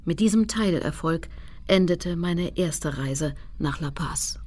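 A woman's voice: lowish voice, takes it slowly, background noise. An actress playing a determined Nazi hunter who recounts her mission soberly and precisely, as scripted radio drama narration.

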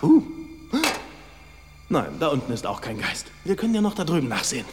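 A man's voice: medium pitch, big pitch swings, a little fast, big-room sound, natural voice. A man playing a kindly middle-aged father who is flustered but reassuring in an audio drama. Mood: soothing. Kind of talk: German film audio drama dialogue. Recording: loud music under the dialogue.

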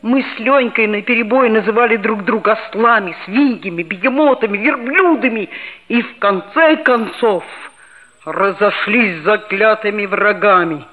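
A woman's voice: mid-range voice, moderate pace, phone-quality sound, close to an everyday voice. An actress playing an earnest, proud seventh-grade schoolboy who narrates his own story in a 1960s radio play. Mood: hurt, stubborn. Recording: thin band-limited old radio recording, music behind.

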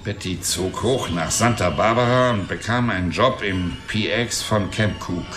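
A man's voice: fairly low pitch, moderate pace, roomy sound, music in the background, close to an everyday voice. An actor playing a cranky, cocky old man, a wisecracking and belligerent mechanic, in a German radio drama. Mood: curt. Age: older. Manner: wisecracking, belligerent, long-winded.

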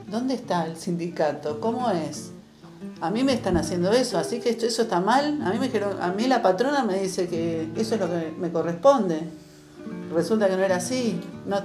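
An older Argentine woman talking reflectively in an interview, halting as she searches for words. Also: on the low side. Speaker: interviewee, former radio drama maker. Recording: roomy echo with guitar music behind. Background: guitar music.

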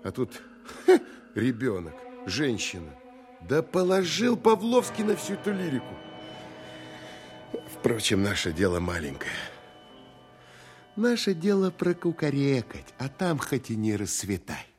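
An actor playing a cynical, irritated wartime counterintelligence officer thinking aloud in a colloquial, grumbling way in an audio drama. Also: male voice, mid-range voice, huge pitch swings, measured pace, long pauses, a little mellow, faint music behind. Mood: resigned irony. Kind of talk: Russian audio drama interior monologue.

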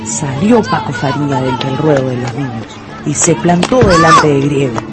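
A woman's voice: a bass voice, moderate pace. A woman with a Peruvian accent narrating a scripted radio story in a dramatic style. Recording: music and schoolyard crowd behind.

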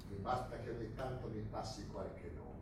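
A man's voice: fairly low pitch, natural voice. A man playing a menacing interrogator, very echoey. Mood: cold, threatening. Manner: coarse, taunting, deliberate and threatening. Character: menacing male regime interrogator, cynical, coarse, coldly mocking. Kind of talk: live stage drama acting. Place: theatre stage, indoors. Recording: echoey, noisy theatre recording from far off.

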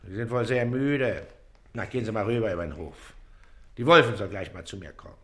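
A man's voice: on the low side, a little fast, a bit bright, lightly acted. An actor playing a pompous, officious male district official in a theatrical German radio play, condescending and impatient. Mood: commanding.

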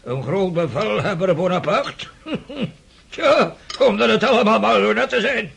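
An actor playing a cantankerous, opinionated old prince in a radio drama, husky and creaky, sputtering and repeating himself as he blusters. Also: high-pitched, lively intonation, unhurried, fairly bright tone, heavily acted. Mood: scornful, agitated. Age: older.

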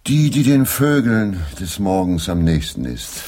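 An actor playing a sardonic, theatrical English stage player in a German radio play, declamatory and wary. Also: male voice, big pitch swings, a little slow, noisy background. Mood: dry, teasing. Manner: declamatory, swooping from booming to hushed.

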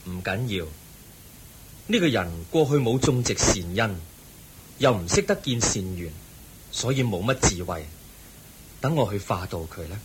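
An actor playing the Buddha, a calm and compassionate teacher who speaks gently and patiently, in a radio play. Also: male voice, fairly low pitch, noisy background. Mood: serene. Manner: gentle, patient, measured preaching. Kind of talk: Buddhist radio drama acting.

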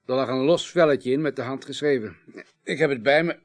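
An actor playing a formal, contrite undertaker in a radio play, speaking in precise, legalistic phrases with hesitations. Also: male voice, mid-range voice, a little fast, slight background noise, lightly acted. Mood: careful recounting. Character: formal, contrite male undertaker giving a careful statement. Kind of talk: 1980s Dutch radio play acting.

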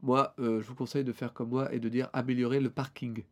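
A man's voice: mid-range voice, level tone, fast talker, a little mellow. A man co-hosting a casual podcast chat, stuffy with a cold and rambling with fillers and restarts. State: has a cold. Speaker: podcast co-host.

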